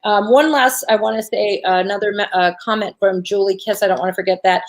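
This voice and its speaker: A woman with a raspy voice hosting a spiritual talk show, chatting casually in an encouraging, chatty way. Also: medium pitch, steady tone, quick pace. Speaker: show host.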